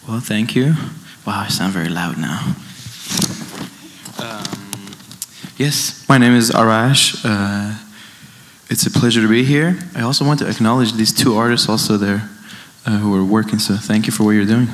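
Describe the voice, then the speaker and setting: A man in his late twenties from Iran giving an informal, reflective artist talk, halting and circling back over his phrases. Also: lowish voice, a little hiss or hum. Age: late 20s. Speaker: dance artist, panelist. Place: indoor event stage, amplified.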